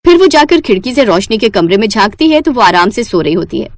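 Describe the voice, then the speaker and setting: A woman's voice: on the high side, very animated, fast, no room sound, studio quality. A woman narrating a scripted cartoon story.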